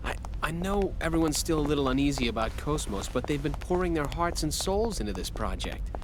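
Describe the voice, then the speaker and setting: Man voicing an earnest, animated young subordinate. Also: fairly high pitch, fairly quick, close to an everyday voice. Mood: earnest, hesitant. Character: earnest young male subordinate, eager and slightly flustered. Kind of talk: English game dub acting. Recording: clear background noise.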